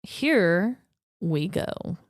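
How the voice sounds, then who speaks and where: A woman's voice: fairly low pitch, sing-song, very slow, dry recording, clean studio sound. A woman podcast host telling scripted true-crime stories with dramatic, tongue-in-cheek delivery, like a comedic narrator.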